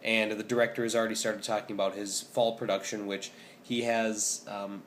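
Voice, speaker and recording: Hoarse young man vlogging casually. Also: on the low side, little pitch movement, normal speed, a bit bright, a little hiss or hum. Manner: rambling, many ums and restarts. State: hoarse, scratchy voice. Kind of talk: casual vlog update.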